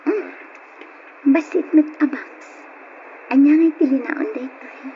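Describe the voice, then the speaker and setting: A woman's voice: high-pitched, measured pace. A woman acting an affectionate, worried young wife in an old Ilocano radio drama. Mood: affectionate, playful. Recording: dull, noisy old radio recording.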